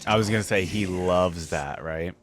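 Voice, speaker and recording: A man's voice: sits low, moderate pace. A young man hosting a reaction video, commenting casually as he goes.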